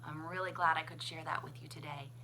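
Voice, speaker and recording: A woman reading a children's picture book aloud as a librarian, with expressive, sing-song, deliberate phrasing. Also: low voice, noisy background. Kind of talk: children's storybook read-aloud.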